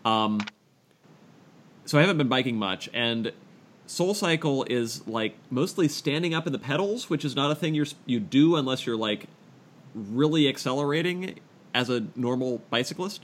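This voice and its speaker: A man's voice: mid-range voice, animated, moderate pace. A man co-hosting a podcast, chatting casually with friends in a wordy, self-deprecating way. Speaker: podcast co-host. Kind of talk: casual chat among friends.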